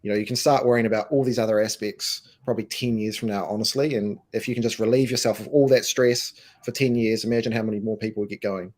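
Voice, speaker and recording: Man from New Zealand, low and croaky, emphatic business guest who stumbles and repeats. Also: fairly even intonation, quick pace, hardly any pauses, darkish tone. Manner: emphatic, repeats words, restarts. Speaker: co-founder and co-CEO, guest. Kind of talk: casual interview answers.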